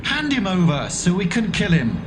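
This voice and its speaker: A man's voice: sits high, huge pitch swings, moderate pace, music in the background. An outraged townsman. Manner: outraged, shouting demands. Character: angry townsman demanding revenge.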